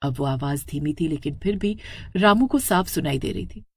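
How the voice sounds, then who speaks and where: A woman tells a scripted horror tale as its narrator. Her voice sits a little low and swings widely in pitch, with dramatic suspense. The sound is dry and close. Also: expressive, normal speed, darkish tone, slightly rough, no room sound.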